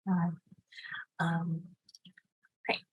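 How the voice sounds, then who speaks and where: A woman with a husky, fairly low voice hosts a reading. She speaks slowly and hesitantly, with an appreciative, informal manner as she introduces each reader. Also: level tone, poor audio. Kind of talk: informal event hosting.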